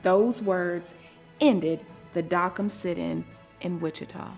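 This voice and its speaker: A woman reading a children's story aloud in a deliberate, expressive and dramatic storytelling manner. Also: fairly low pitch, creaky, soft background music. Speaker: storybook reader.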